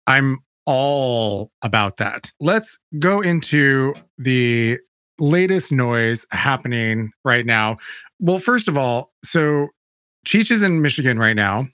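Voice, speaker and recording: A man hosting a theater podcast from his Manhattan apartment, animated and sing-song as he explains the show. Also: mid-range voice, slow, no echo, studio quality. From Mexican family, from California. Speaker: podcast host.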